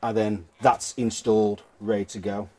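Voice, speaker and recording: A man's voice: on the low side, flat delivery, normal speed, warm tone. A British man giving a casual hands-on how-to commentary, informally thinking aloud as he works. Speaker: DIY vehicle vlogger.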